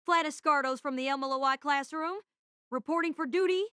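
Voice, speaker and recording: A woman voicing a cheerful, hyperactive teenage boy student mage in an audiobook, with an excitable, brash and eager manner. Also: high voice, fairly quick, dry recording, clean studio sound, pitched above the performer's own voice.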